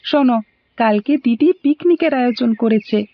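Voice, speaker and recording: A woman's voice: fairly high pitch, normal speed. A woman voicing a cheerful, helpful little schoolboy in a cartoon, with a Bangladeshi accent. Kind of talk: animated cartoon dialogue. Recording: dull lo-fi audio.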